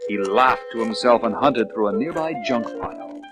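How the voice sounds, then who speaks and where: A man narrates a children's storybook record with lively, expressive pitch in a mid-range voice. Music plays clearly behind his scripted telling. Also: moderate pace.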